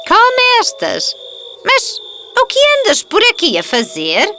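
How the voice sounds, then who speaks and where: Slow, kindly old forest woman. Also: very high voice, huge pitch swings, pushed and bright, slight background noise, heavily acted. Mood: gentle, curious. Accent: European Portuguese. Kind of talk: children's cartoon fairy tale acting.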